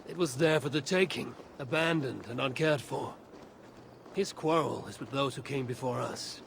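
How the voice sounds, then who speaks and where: A man's voice: medium pitch, moderate pace, gravelly, noisy background, natural voice. An actor playing a bold, self-assured male Viking warrior in a video game, speaking tersely in formal, archaic phrases. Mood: firm, defiant. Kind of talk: dramatic video game dialogue.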